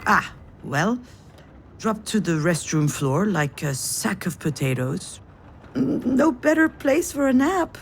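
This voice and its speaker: A woman's voice: medium pitch, very animated, slow pace, slight background noise. An actress playing a frazzled, self-deprecating woman who narrates her inner monologue in a visual novel game, sardonic and confessional. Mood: groggy, sardonic. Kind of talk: visual novel voice acting, first-person narration.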